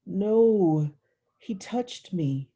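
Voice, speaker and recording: A woman plays an upset young girl in an audiobook story, confiding in plain childlike words. The voice is soft and very mellow with a husky edge, reluctant and easily exasperated. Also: mid-range voice, lively intonation, extremely slow, voice pushed up, exaggerated voice. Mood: reluctant, tearful. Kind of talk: literary audiobook dialogue.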